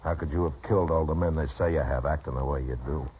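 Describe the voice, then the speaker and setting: A frontier lawman, extremely low in pitch, steady and terse, with natural authority. It is 1950s radio drama, dulled and noisy. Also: fast, muffled recording. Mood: sober, probing. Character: stern, steady frontier US marshal, a grown man. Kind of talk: 1950s radio western acting.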